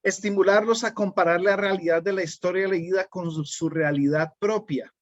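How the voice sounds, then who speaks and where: A middle-aged Colombian man gives a language-teaching webinar in a relaxed, unhurried way. His voice sits a little high for a man. The sound is dry and dull, like a basic video-call mic. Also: dry recording. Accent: Colombian Spanish. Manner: calm and unhurried, often checking in with the listener. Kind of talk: webinar presentation.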